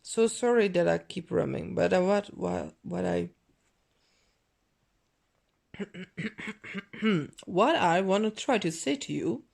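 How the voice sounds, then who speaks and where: Vlogger woman, gravelly fry, dry throat, meandering chatter, close handheld camera in a living room. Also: on the low side, lively intonation, darkish tone. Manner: rambling, self-correcting, non-native phrasing; deliberately overdone mixed accent. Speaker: fashion vlogger. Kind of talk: casual unboxing haul chat.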